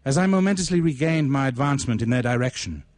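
Male storyteller, dry close recording, deliberate phrasing, literary narration, mid-range pitch. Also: lively intonation, a little mellow, no echo. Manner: ornate, deliberate, measured phrasing. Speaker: writer reading own short story. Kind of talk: dramatic literary story reading.

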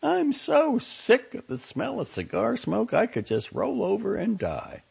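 Guy doing a high worn-out young woman on the phone, huffy and pleading, swoops all over, kinda husky, dull lo-fi sound. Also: huge pitch swings, unhurried, darkish tone, heavily acted. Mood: exasperated. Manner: pleading, exasperated. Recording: dull lo-fi audio.

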